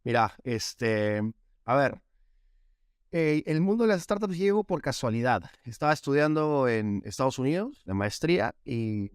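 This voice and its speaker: A man's voice: medium pitch, animated, measured pace, clean tone, dry recording, clean studio sound. A man with a Peruvian accent talking casually as an interview guest, often adding little tag questions. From Peru. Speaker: podcast guest, AI executive.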